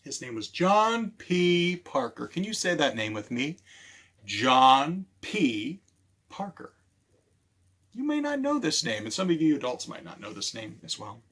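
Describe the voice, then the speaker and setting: A man hosting a library storytime for children, animated and speaking directly to his listeners as he presents and tells stories. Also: a little high, unhurried. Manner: animated, repeats key phrases, addresses listeners directly.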